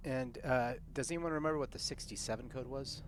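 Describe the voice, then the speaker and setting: Guy at a tabletop game, average pitch, casually saying what his character does. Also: fairly quick, slight background noise. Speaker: player in a tabletop roleplaying game.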